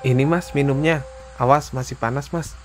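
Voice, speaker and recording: A man voicing a friendly, casual young hiker in an animated story. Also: medium pitch, moderate pace, a little hiss or hum, lightly acted. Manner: casual, chatty.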